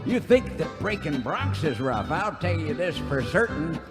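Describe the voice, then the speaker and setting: Folksy old american cowboy coot for radio comedy, real high drawly voice, music playing behind. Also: male voice, sing-song, a little slow, long reverb tail. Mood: preachy, folksy. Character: cranky, boastful old cowboy sidekick, folksy and woman-wary. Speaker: comic singing sidekick. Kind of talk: western radio comedy with comic songs.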